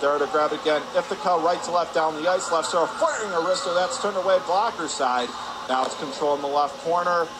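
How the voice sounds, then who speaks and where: A man doing excitable live radio play-by-play of a hockey game, shouting on big plays. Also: fairly high pitch, fairly quick. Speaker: play-by-play announcer. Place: indoor ice hockey arena. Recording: noisy arena broadcast with rink ambience. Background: loud ambient arena noise.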